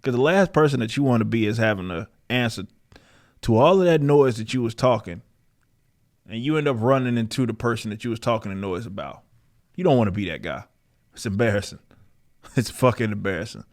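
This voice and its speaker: A man's voice: medium pitch, a little fast. Combative, sweary man hosting a podcast. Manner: combative, sweary, challenging, emphatic. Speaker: podcast host. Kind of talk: opinionated casual commentary on a live stream.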